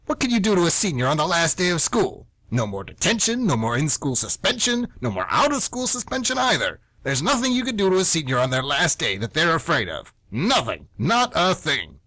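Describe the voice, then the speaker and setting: Man acting a grumpy older teacher, wildly animated. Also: fairly high pitch, brisk, hardly any pauses, no room sound, full character voice, rough recording. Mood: bitter, grumbling. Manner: ranting, emphatic, indignant. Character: grumpy old-school male high-school teacher nearing retirement. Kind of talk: amateur radio play acting.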